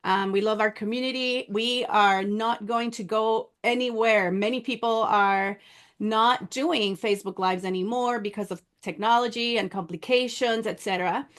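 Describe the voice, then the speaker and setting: A woman co-hosting a livestream, addressing viewers conversationally in measured phrases with some restarts. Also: on the high side, fairly even intonation, a bit bright. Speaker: co-host.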